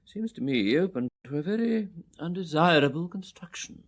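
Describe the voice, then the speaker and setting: An actor playing a stiff, suspicious solicitor in a radio drama, speaking with pompous, formal precision in an English accent. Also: male voice, a little high, animated, moderate pace. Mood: suspicious, disapproving.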